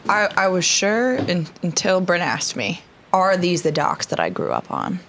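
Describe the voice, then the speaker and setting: Low-voiced woman playing d&d. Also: sing-song, a little slow, a bit bright, a little hiss or hum. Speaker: player. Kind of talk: casual tabletop chat.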